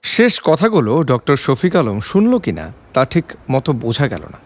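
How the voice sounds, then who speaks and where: A man's voice: mid-range voice, sing-song, normal speed. A man giving a dramatic scripted narration of an audio story.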